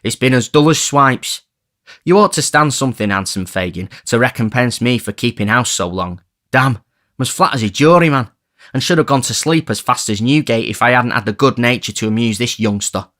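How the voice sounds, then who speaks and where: A man's voice: medium pitch, lively intonation, moderate pace, fairly bright tone, pitched above the performer's own voice, close to an everyday voice. A man voicing a vain, swaggering male thief who talks in a bored, haughty drawl in a storybook reading. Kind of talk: audiobook character reading.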